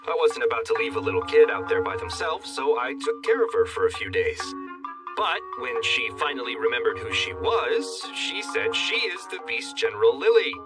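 Casting: male actor for a smug, wry young animated hero. Expressive narration, slightly off-mic over clear music. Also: medium pitch, distant mic, natural voice, rough recording. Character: cocky sarcastic young male fantasy hero. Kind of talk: animated dub narration and banter.

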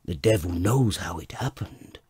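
A man voicing a timid, bewildered barber who mutters in a low, uncertain way. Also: expressive, a little slow, darkish tone, breathy edge, no echo, studio quality, heavily acted. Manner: muttering, hesitant. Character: bewildered timid middle-aged male barber.

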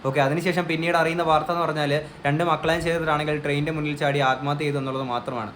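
A man's voice: fairly high pitch, flat delivery, very fast, fairly bright tone, a little hiss or hum. A man giving earnest, emphatic opinion commentary as a vlogger on a news case.

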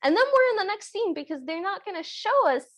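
High-pitched woman chatting through a film recap. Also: sing-song, fast talker, a bit bright. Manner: wry, uses "like" often. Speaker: podcast co-host. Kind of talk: casual, joking film recap.

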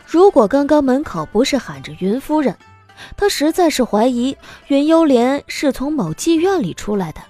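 A woman narrating a scripted audio drama in an expressive storytelling manner. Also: high-pitched, normal speed. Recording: music bed behind the voice.

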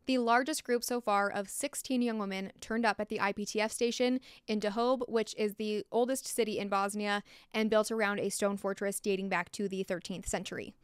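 A woman's voice: medium pitch, steady tone, fairly quick, hardly any pauses, smooth, no room sound. A young American woman narrating a scripted true-crime story in a frank, opinionated and informal way, close to a desktop microphone. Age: young adult. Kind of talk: scripted narration with candid asides. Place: small log-walled room indoors.

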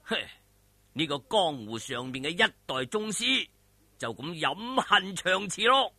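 A man's voice: high-pitched, big pitch swings, a little slow, pushed and bright, no room sound. A man with a Hong Kong Cantonese accent narrating a martial arts story in a theatrical, colloquial, emphatic way. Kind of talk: dramatic wuxia audiobook narration.

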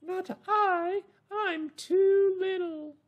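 A man voicing a small, whiny, squeaky rat that makes excuses, drawing the words out for children. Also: steady tone, narrow, tinny audio, full character voice. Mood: whiny refusing. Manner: drawn-out, deliberate delivery for children.

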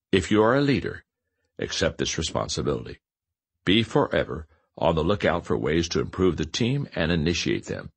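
Male audiobook narrator for instructional nonfiction; low, husky voice, emphatic on key points.

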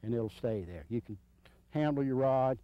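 A man's voice: medium pitch, lively intonation, moderate pace, no room sound. A man in his fifties giving informal, hands-on instruction in a plainspoken, folksy way, repeating his points, on a dull old video recording. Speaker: surf fishing instructor. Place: outdoors on a sandy beach.

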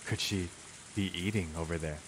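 A young man with a low, deep voice reads visual novel narration aloud as a let's player, with casual reactions. Rain and hiss are clearly heard behind him. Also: moderate pace, a bit bright.

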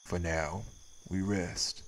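A man's voice: sits low, fairly even intonation, slow pace, slight background noise. A man voicing a stern, weary wraith priest in an audio roleplay, with a laconic, folksy way of talking. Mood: calm, resolute. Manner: laconic, folksy, drawled nonstandard grammar. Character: stern, weary male wraith priest guarding his town. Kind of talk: scripted audio roleplay acting.

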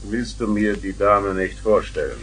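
A man's voice: fairly low pitch, measured pace, fairly bright tone, breathy edge. An actor playing a stern, fussy father who hems and hesitates in a 1970s radio play. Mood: grumbling, stiff. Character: stiff, fussy, rule-bound father and brother-in-law.

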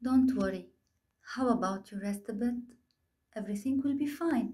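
A woman playing a kind young lady in a bedtime story, gentle and reassuring. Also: fairly high pitch, fairly quick, natural voice. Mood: soothing. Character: kind young Lebanese lady singer with a broken car. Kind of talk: storybook character acting.

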